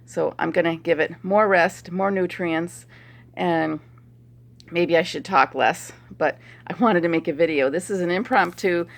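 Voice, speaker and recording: A middle-aged woman chiropractor with a hoarse voice and a stuffy nose, chatting opinionatedly to a phone camera and laughing as she talks. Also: fairly low pitch, a little slow. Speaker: chiropractor vlogger. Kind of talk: casual talk to camera.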